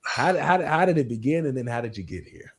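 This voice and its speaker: A man's voice: mid-range voice, animated, fast, slightly rough. A man hosting an informal religious livestream discussion, speaking emphatically and repeating phrases for emphasis. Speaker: livestream host.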